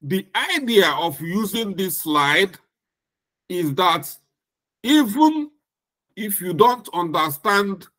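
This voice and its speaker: Middle-aged Nigerian-accented male lecturer, slightly high voice, slow and deliberate. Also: lively intonation, sharp tone. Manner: slow, deliberate, with emphatic repetition. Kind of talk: recorded lecture.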